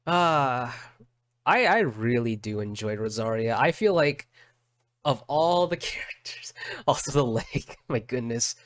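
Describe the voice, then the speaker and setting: Slow-talking man reacting on stream. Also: mid-range voice, animated, a bit bright, no room sound, clean studio sound. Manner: halting, repeats phrases. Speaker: streamer reacting to game trailers. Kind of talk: casual reaction commentary. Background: game trailer music in the background.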